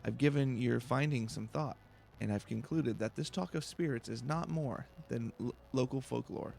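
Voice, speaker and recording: A man's voice: mid-range voice, gravelly, darkish tone, a little hiss or hum, lightly acted. A man voicing a proud, nervous female scholar from a fantasy game, formal and prone to stammering. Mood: flustered.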